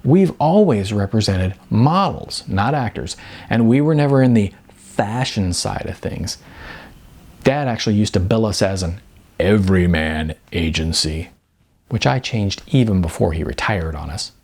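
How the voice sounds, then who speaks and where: Brash middle-aged female talent agent played by a man, wisecracking and emphatic, swooping pitch, creaky edges, audiobook dialogue. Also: animated, unhurried, darkish tone. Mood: chatty, reminiscing.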